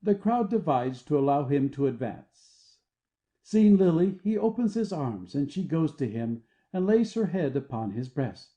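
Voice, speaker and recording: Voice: mid-range voice, big pitch swings, measured pace, mellow. A narrator reading the stage directions of a play as scripted narration.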